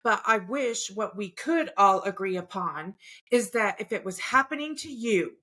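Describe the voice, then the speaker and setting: Bright, impassioned woman podcast co-host. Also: medium pitch, animated, normal speed. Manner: impassioned, ranting, with blunt swearing. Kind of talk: casual podcast conversation.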